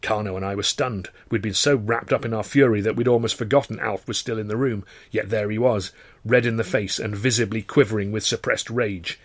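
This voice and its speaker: A man reading a first-person novel as dramatic audiobook narration, expressive and often in short clipped phrases. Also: fairly low pitch, fairly quick, no room sound. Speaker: author reading his own novel.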